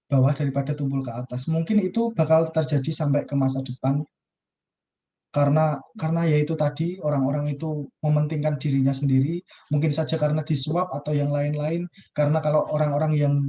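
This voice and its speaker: A man's voice: fairly high pitch, dark tone. A young man, a university student, talking through a class discussion on a video call, casual and hesitant with many fillers and restarts. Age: young adult.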